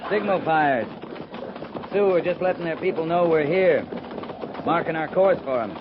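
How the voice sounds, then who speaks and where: A man playing a steady, weary cavalry captain in a 1950s radio western, speaking in a measured, dry, understated way. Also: a little high, lightly acted. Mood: grave, firm. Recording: dull, noisy 1950s radio recording.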